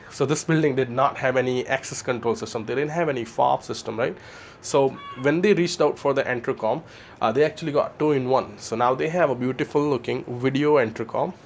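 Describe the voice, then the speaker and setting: A man presents a product in an informal, explanatory way, often tagging his points with a questioning "right?". His voice sits at an average pitch. It is recorded on location at a building entrance, with faint noise behind him. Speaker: security installer presenting a product. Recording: clear background noise on location.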